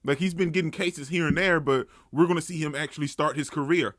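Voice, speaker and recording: A man giving casual, off-the-cuff reaction commentary, thinking out loud as he goes. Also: fairly high pitch, fast talker, no echo. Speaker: anime reaction host.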